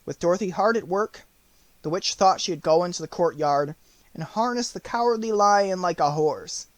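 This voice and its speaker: Higher-voiced man narrating a storybook. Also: moderate pace, dry recording. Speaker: audiobook narrator.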